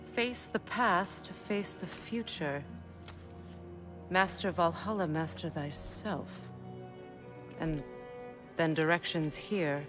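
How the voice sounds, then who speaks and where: A proud, commanding goddess in a video game. Her voice runs a little low, with music clearly behind it. She is sardonic, assured and pointed. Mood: measured. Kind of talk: video game cutscene acting.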